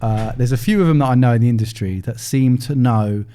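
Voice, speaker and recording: A man's voice: mid-range voice, fairly quick, dark tone, smooth. Male podcast host, ordinary mid-range voice, friendly and hesitant, lots of ums. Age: older than 31. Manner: friendly, hesitant, many fillers. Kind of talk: scripted intro then casual chat.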